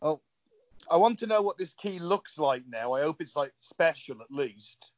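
A man's voice: fairly high pitch, lively intonation, moderate pace, no echo. A man with a slightly raised voice banters dryly with his co-hosts. The sound is dead dry and a little dull and lo-fi. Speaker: podcast co-host. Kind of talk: casual banter.

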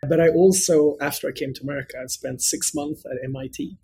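A man's voice: fairly high pitch, fairly quick, creaky, warm tone, breathy edge, poor audio. A middle-aged man from Sweden with a mixed international Swedish accent, answering conversationally as an interview guest. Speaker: interview guest, company executive.

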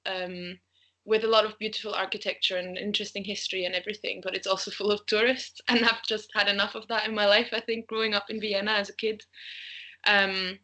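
A woman's voice: medium pitch, flat delivery, brisk, pushed and bright. A young woman from Austria, a travel blogger, chatting casually as a podcast guest, laughing often and thinking aloud. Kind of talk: casual interview chat.

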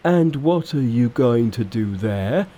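A man's voice: mid-range voice, lively intonation, slow pace, mellow, a little hiss or hum. A man voicing a blunt, grumbling, boastful pig creature in a storybook reading. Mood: curious, earnest. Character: blustering, grumbling male pig monster disciple. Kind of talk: storybook narration with character voices.

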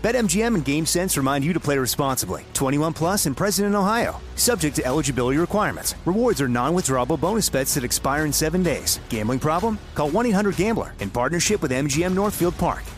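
A man's voice: a little high, expressive, quick pace, hardly any pauses, faint music behind. A man reading a betting commercial in an upbeat, emphatic sales voice. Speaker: ad announcer. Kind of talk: scripted commercial read.

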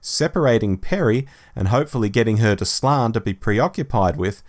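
A man hosting a podcast, narrating a scripted plot summary and reading out headings with deliberate emphasis. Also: on the low side, lively intonation. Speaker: podcast host.

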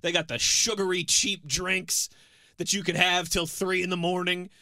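A teasing co-host in his early thirties, telling a laid-back anecdote on a sports talk show. His voice is bright and sits a little high. It is recorded dry and close. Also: flat delivery, moderate pace, dry recording. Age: 30s. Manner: teasing, chatty anecdote-teller. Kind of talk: casual sports radio banter, storytelling.

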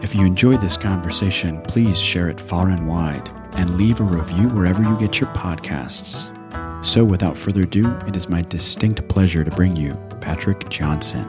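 A male podcast host reading a measured, scripted introduction and then asking his guest questions. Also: low-pitched. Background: background music.

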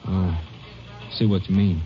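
A man's voice: low voice, fairly even intonation, measured pace, a little hiss or hum. An actor playing a chatty, outspoken small-town newspaper editor in a 1950s radio drama. He talks in a wry, chatty way. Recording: muffled vintage radio broadcast.

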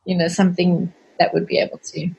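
A woman's voice: on the low side, monotone, brisk, vocal fry, poor audio. Earnest South African woman guest. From Zimbabwe and South Africa. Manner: earnest, hesitant, self-deprecating, laughs softly. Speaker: cleaning company cofounder, guest. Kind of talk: casual interview chat.

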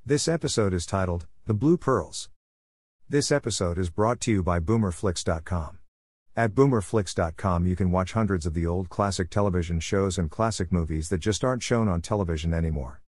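A man's voice: sits low, fairly quick, a little mellow, no room sound, studio quality. A man hosting and introducing an old radio show episode in a friendly, scripted way. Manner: friendly, reading plainly. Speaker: podcast host.

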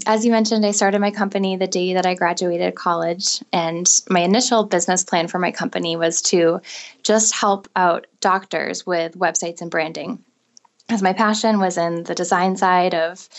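A woman in her thirties with a soft voice, telling her story as an interview guest in an earnest, conversational way. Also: lowish voice, steady tone, normal speed. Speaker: guest entrepreneur.

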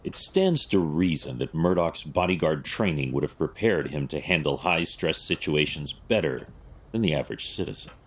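An actor playing a stern, analytical vigilante detective in an audio drama, terse and clinical, with a gravelly voice. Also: male voice, extremely low pitch, expressive, normal speed, full character voice. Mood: clinical, measured.

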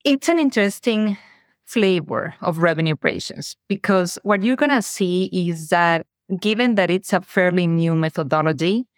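A woman's voice: fairly low pitch, expressive, moderate pace, no echo, clean studio sound. A woman from Mexico with a Mexican accent, a native Spanish speaker, telling her career story as a podcast guest in an earnest, reflective way. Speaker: guest, revenue operations director. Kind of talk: interview conversation.

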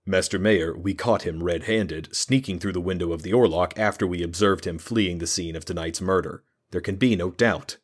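A man voicing a cold, focused male constable who speaks in a measured way. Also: low voice, breathy edge. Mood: firm.

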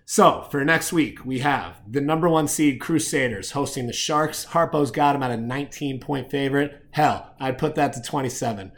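A man's voice: medium pitch, moderate pace, hardly any pauses. A man hosting a rugby picks show, giving commentary and predictions in an opinionated, slangy way.

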